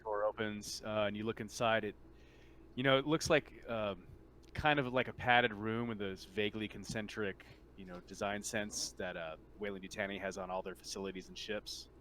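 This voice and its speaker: A man running a tabletop role-playing game, improvising scene narration in a halting, informal way. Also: fairly low pitch, fairly even intonation, a bit bright. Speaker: game master. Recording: noisy room with typing.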